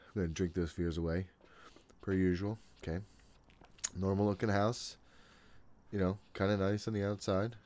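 A man's voice: sits low, darkish tone. A man in his thirties giving casual, nervous, jokey and profane commentary while playing a horror game at home. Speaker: gaming streamer. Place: small room at home, indoors. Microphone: desk condenser mic close by, headphones on.

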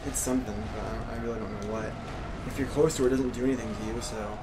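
A man's voice: medium pitch, steady tone, fast talker. Exasperated man gaming over noisy game sound. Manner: exasperated, grumbling, sarcastic. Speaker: gaming video host playing a boss fight. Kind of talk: casual let's play commentary. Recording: clear background game noise. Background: video game sound.

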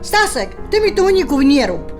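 Bossy middle-aged mother, Polish highlander dialect, very high animated voice, scolding and insistent, music behind. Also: normal speed. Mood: bossy nagging. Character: bossy, nagging highlander mother fussing over her household. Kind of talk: audio drama acting.

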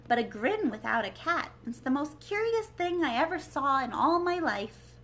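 A woman's voice: high voice, very animated, normal speed, fairly bright tone, slight background noise, voice pushed up. A woman voicing a curious, polite little girl in a storybook, with an earnest, emphatic way of questioning. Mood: wondering.

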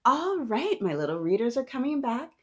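A woman's voice: on the high side, very animated, normal speed, a bit bright, slightly rough. A woman in her thirties hosting a children's storytime, reading aloud expressively and chatting with young listeners as if they answer. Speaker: children's storytime host and reader.

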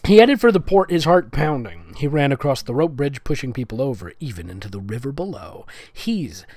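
A man's voice: mid-range voice, very animated, fairly quick, a little mellow, no room sound, clean studio sound. A man giving a comedic dramatic reading, with sarcastic, nitpicking asides as the host. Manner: sarcastic, nitpicking, breaking into giggles. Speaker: host reading fan fiction aloud.

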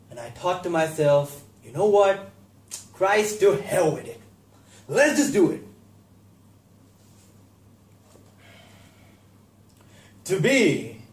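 A man performing a theatrical monologue as an anxious, self-deprecating young stage actor, confessional and halting with bursts of intensity. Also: on the high side, pitched above the performer's own voice. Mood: resolute. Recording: echoey room.

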